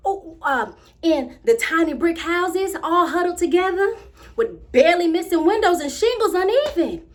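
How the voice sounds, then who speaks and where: A woman's voice: very high voice, animated, normal speed. A woman with an American accent performing a theatrical monologue as a proud, imaginative young girl, telling her story with dramatic swings between confiding and exclaiming. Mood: dreamy, thrilled.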